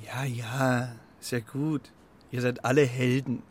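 A man's voice: mid-range voice, little pitch movement, takes it slowly. A man playing a cocky, boastful street pigeon in a children's radio play, swaggering and talking in clipped colloquial bursts. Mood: cocky, excited. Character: cocky scrappy male street pigeon, boastful and gruff-hearted.

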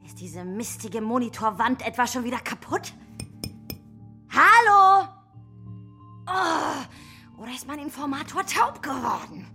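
Villainous boss lady: high, wildly animated, imperious, short-fused, radio play for kids. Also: measured pace, creaky, long pauses, soft background music, exaggerated voice. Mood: irritated, shouting. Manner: imperious, scornful, ranting. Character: tyrannical, hot-tempered female villain boss. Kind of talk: children's radio play acting.

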